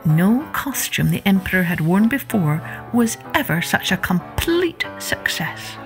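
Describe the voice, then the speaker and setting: A woman narrating a children's storybook as a podcast host, in an expressive, deliberate manner. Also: mid-range voice, faint music behind.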